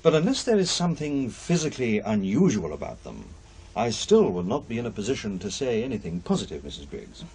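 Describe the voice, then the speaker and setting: An actor playing a formal, cautious and inquisitive paranormal investigator in a radio play, speaking with careful precision. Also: male voice, fairly low pitch, very animated, a little fast, darkish tone, slight background noise. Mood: precise, cautious. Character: measured, inquisitive male paranormal investigator, a widower.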